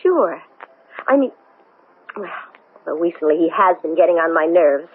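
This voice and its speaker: An actress playing an anxious, strong-willed woman astronaut in a 1970s radio drama, speaking in an agitated, halting way. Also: fairly low pitch, lively intonation, slightly rough. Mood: earnest, excited. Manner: agitated, halting, stammering speech. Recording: noisy, thin, phone-like old radio broadcast.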